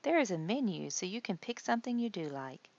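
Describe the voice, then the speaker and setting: A woman's voice: medium pitch, big pitch swings, fairly quick, creaky, dull audio, pitched above the performer's own voice. Lady reading as a kind hospital nurse in a kids storybook, explains stuff patiently, lots of up and down. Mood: reassuring. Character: kindly adult female hospital nurse guide.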